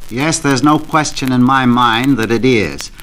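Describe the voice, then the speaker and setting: Formal male panelist, mid-pitched. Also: a bit bright, a little hiss or hum. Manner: formal, deliberate wording. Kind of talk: 1940s radio panel discussion.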